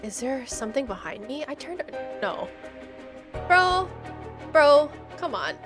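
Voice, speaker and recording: A woman with a fairly high voice streams a horror game over background music. She takes her time, reading the text aloud and mixing in casual, slangy reactions with a dramatic flair. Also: sing-song, takes it slowly, a bit bright.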